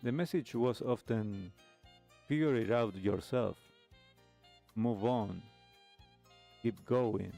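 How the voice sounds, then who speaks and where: A man hosting a talk show, giving reflective commentary in measured, halting phrases with pauses between short clauses. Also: fairly low pitch, gravelly, warm tone. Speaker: host of a live retro music talk show. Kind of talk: reflective commentary, reacting to a video. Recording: music playing behind the voice. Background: music with guitar.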